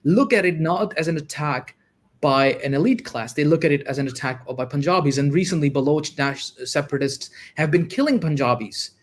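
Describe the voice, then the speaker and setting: Male guest with a fairly high voice who argues quickly and with emphasis, casual livestream debate. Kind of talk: casual discussion.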